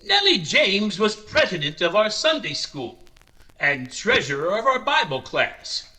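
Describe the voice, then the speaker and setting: Pious small-town reverend, radio drama; preachy, slow, lilting high delivery with lively swings. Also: male voice, full character voice, rough recording. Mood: reverent. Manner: sanctimonious, preachy, drawn-out. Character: pious, long-winded small-town reverend giving testimony. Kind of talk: old-time radio drama acting.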